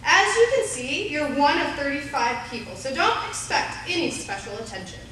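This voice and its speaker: A woman's voice: high voice, animated, normal speed, a bit bright. An actress playing a haughty, impatient woman schoolteacher in a live radio play, dismissive and scolding. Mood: bored, dismissive. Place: live on a theater stage. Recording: very echoey live hall, distant, faint noise.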